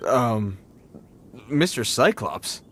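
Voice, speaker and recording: A man's voice: mid-range voice, big pitch swings, extremely slow, a bit bright, slight background noise, heavily acted. A man voicing a dutiful patrol guard in a game, formal in speech and flustered around children. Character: adult male patrol guard, dutiful and awkward. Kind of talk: game cutscene voice acting.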